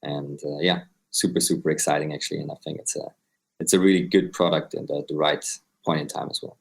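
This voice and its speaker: Deep-voiced Austrian man in his twenties. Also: steady tone, fast talker, vocal fry. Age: 20s. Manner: explains step by step, often adds "right?". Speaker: guest, crypto company co-founder. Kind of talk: explanatory interview answers.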